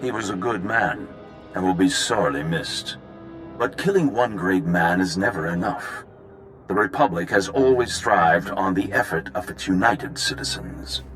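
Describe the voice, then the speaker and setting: A grave military commander in a game. His deep voice is deliberate and formal, coolly threatening, with faint music in the background. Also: a bit bright. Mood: grave, menacing. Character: grave, vengeful male Republic commander, coolly menacing. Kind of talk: video game cutscene acting.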